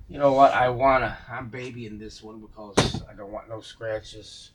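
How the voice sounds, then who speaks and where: A man giving casual, unscripted commentary as he works on a vintage radio, musing aloud in a halting way to himself and his viewers. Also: mid-range voice, fairly even intonation, heavy background noise. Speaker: vintage radio restorer showing a repair.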